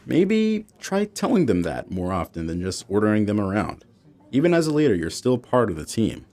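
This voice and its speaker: A protective, supportive middle-aged father in an audio drama. His voice is low and expressive, moving freely in pitch as he speaks naturally. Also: moderate pace. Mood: encouraging.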